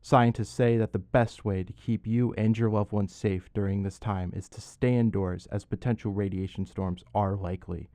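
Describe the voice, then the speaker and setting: A man playing a formal television news anchor in a radio drama, reading grave bulletins in a measured way. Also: lowish voice, steady tone, mellow, no room sound, natural voice. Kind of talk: news broadcast delivery.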